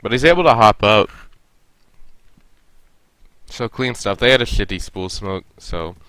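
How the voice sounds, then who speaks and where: A man's voice: on the low side, lively intonation, measured pace, pushed and bright, no room sound, low-quality recording. A young man with a stuffy nose, casually reviewing a team's game and coaching the players directly. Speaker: esports coach reviewing a match.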